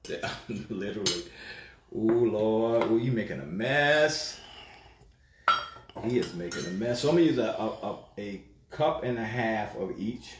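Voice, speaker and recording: A middle-aged man runs a casual cooking stream from his home kitchen, joking with family and viewers. His pitch swings up and down freely. The kitchen sounds echoey, and dishes and utensils clatter behind him. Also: expressive, measured pace, noisy background. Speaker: cooking show host.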